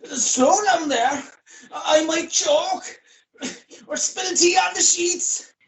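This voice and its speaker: A woman's voice: very high voice, extremely slow, recorded from a distance, exaggerated voice. A woman playing a weary, evasive man in a radio play, with an Irish accent and a hesitant, stammering delivery. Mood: nervous, pleading. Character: weary, evasive, grubby man fending off his lover.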